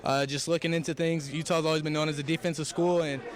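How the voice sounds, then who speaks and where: A man's voice: on the high side, level tone, quick pace, crowd noise. A young man, a college football quarterback, answering questions in a press interview. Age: young adult.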